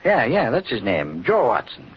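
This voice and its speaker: An actor playing a plain-spoken, gullible police officer, hesitant and colloquial, in 1940s radio style. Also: male voice, medium pitch, wildly swooping pitch, normal speed, breathy edge, exaggerated voice. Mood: casual, businesslike. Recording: noisy, dull old radio recording.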